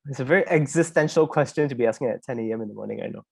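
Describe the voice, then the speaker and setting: A man with a Malaysian accent speaks as a presenter at an online talk. His voice sits at a middle pitch and he talks informally, engaging listeners directly. The sound is dry, with very little room in it. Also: very fast, creaky, a little mellow, slightly rough, dry recording. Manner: conversational, prompts listeners with repeated questions. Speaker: investigative journalist presenting as a webinar speaker. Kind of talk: informal interactive webinar presentation.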